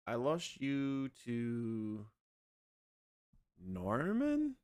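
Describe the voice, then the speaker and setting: A young man streaming a game and chatting loosely with his viewers, his voice a little scratchy from yelling the night before. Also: medium pitch, extremely slow, clear, resonant tone. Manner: relaxed, rambling, talks to chat. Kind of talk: casual livestream chat.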